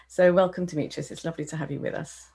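A woman's voice: low-pitched, fairly even intonation, fast talker, creaky, a little hiss or hum. Woman hosting a video-call interview. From United Kingdom. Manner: warm welcoming, with frequent ums. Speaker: festival director and host. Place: home room with a sofa. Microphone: wired earphones on a video call.

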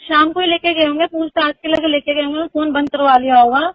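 A woman's voice: sits high, steady tone, a little fast, dry recording. A young woman on a recorded phone call, talking hesitantly and repeating herself. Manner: hesitant, repetitive. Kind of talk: private phone conversation.

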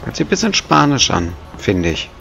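A man's voice: mid-range voice, big pitch swings, moderate pace, creaky, a bit bright, soft background music. A man with a cold, making wry, joking asides as he comments on a video game. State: has a cold. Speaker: let's play commentator. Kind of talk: casual gameplay commentary.

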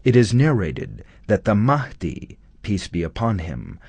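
A man narrating a scripted documentary in a measured, solemn studio voice-over. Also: lowish voice, wildly swooping pitch, gravelly, a little mellow, breathy edge, dry recording.

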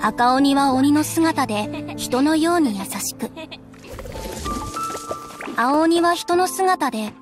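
A woman playing a calm storyteller, narrating a children's folktale in a video game. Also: sits high, slow, long pauses, music in the background. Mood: gentle narrating.

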